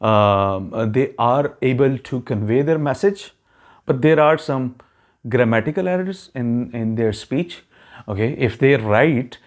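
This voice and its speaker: Man, medium pitch, casual explainer, frequent "okay?" check-ins, fillers. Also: a little slow. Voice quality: non-native English speaker, self-described neutral accent. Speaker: YouTube channel host giving immigration advice. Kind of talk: casual explanatory talk to viewers.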